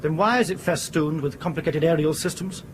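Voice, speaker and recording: A man's voice: fairly high pitch, a little fast, noisy background, close to an everyday voice. A man playing a polite but insistent, frustrated airline captain in a radio drama, sceptical and questioning. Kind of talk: broadcast radio drama acting.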